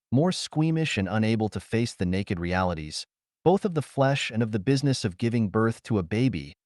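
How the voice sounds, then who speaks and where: A man reading pulp science fiction as an audiobook narrator, in an expressive, theatrical way. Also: on the low side, no room sound, studio quality.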